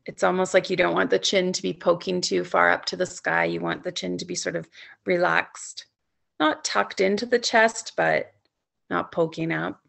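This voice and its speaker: A woman with a heavily creaky, fairly low voice leads a yoga nidra for sleep. She speaks in soothing, gently coaxing phrases and leaves long pauses between her instructions. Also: fairly even intonation. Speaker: yoga nidra instructor leading a guided meditation. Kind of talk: guided sleep meditation, softly spoken instruction.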